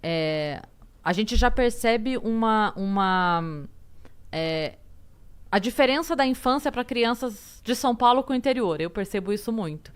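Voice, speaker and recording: A woman's voice: a little high, animated, a little slow, smooth. A woman with a Brazilian accent hosting a casual conversation, thinking aloud as she frames her questions. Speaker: podcast host.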